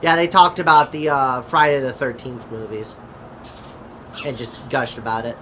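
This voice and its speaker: Halting man acting as a curious friend, noisy recording. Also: mid-range voice, steady tone, natural voice. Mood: casual, reassuring. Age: adult. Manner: halting, pausing mid-sentence. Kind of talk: amateur skit dialogue, conversational. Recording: clearly noisy, constant background hum.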